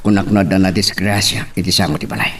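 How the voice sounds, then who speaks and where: A man's voice: sits low, fairly even intonation, fast, far from the mic, slight background noise, natural voice. A man playing a stern, reproachful rival in love in an Ilocano drama. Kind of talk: Ilocano radio-style love drama acting.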